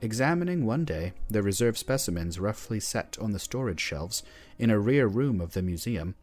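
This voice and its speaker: A man narrates a horror tale in a slow, grave and measured way. His voice sits low, with a faint musical bed behind him. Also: expressive, darkish tone, soft background music. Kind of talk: scripted horror story reading.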